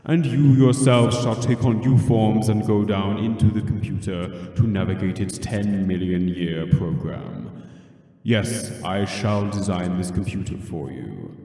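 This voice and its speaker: A man playing a vast, ancient supercomputer in an amateur radio play, speaking gravely and with ponderous superiority. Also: lowish voice, measured pace, warm tone. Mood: superior, grandiose. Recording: distant, long hall-like reverb.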